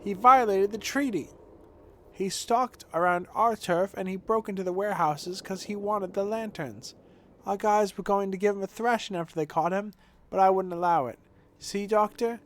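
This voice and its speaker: A man's voice: sits high, moderate pace, a bit bright. A man voicing a brash, indignant boy who leads a street gang in a video game, slangy and blustering. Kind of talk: video game dialogue read aloud.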